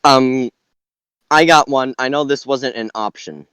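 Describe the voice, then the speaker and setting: A man chatting casually as a podcast panellist, haltingly repeating phrases as he builds his ideas. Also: medium pitch, fairly bright tone, clean tone, no echo. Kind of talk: casual chat.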